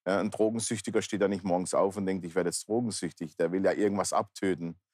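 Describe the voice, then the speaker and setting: Male interview guest, fifties, very low register, earnest plain-spoken testimony, dry sound. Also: fairly even intonation, quick pace, a little mellow, no room sound. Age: 50s. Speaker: talk show guest, pastor. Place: large indoor bar hall, seated interview.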